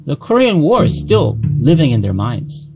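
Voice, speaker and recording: A man with an American accent speaking reflectively in an interview, explaining history in a halting, thoughtful way. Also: on the high side, wildly swooping pitch, over loud music. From United States.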